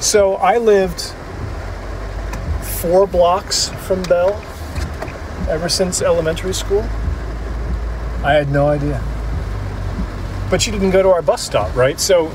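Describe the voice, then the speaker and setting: An actor playing an ordinary man recounting a friend's haunting in a naturalistic documentary interview, halting and conversational, in short phrases with pauses. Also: high voice, background noise. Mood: casual, musing. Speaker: interview subject in an audio drama.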